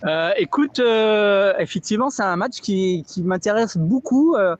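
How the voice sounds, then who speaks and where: A man with a fairly high voice talks through a football game on a podcast. He stops often and repeats words as he searches for the next one. The recording is dry and close. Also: expressive, unhurried, dry recording, lo-fi sound. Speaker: football analyst. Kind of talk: casual podcast analysis.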